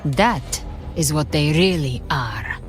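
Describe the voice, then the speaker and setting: Weary female fighter, very low voice, slow terse delivery, wry, video game drama, light music behind. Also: expressive. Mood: grim. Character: cool, defiant, world-weary outcast woman warrior.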